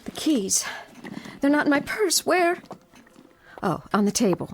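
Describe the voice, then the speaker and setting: An intense, high-voiced desperate fiancée. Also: female voice, big pitch swings, a little hiss or hum, heavily acted. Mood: defiant, confrontational. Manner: intense, dramatic pleading with drawn-out phrases. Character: determined, frightened long-waiting fiancée, pleading then defiant. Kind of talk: radio drama acting.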